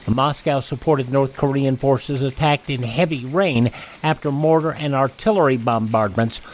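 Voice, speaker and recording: A man's voice: mid-range voice, normal speed, slight background noise. A male radio host introduces an old radio drama and reads old news headlines. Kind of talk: radio show hosting and news reading.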